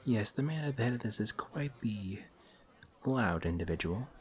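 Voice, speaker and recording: An actor playing a courteous, formal villain who is sly and quietly taunting, in a one-sided audio roleplay. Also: male voice, on the low side, animated, normal speed, lightly acted. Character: suave, polite male villain in civilian clothes. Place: coffee shop scene with faint café chatter.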